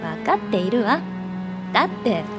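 A woman's voice: mid-range voice, sing-song, moderate pace, natural voice. An actress playing an earnest, determined and idealistic young woman in her twenties in a radio drama. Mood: earnest wonder. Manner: earnest, wondering. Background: music.

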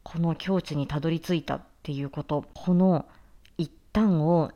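A woman voice actor giving a reflective promotional talk in an earnest, halting, deliberate way. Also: low voice, warm tone, lo-fi sound. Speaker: voice actor introducing a production.

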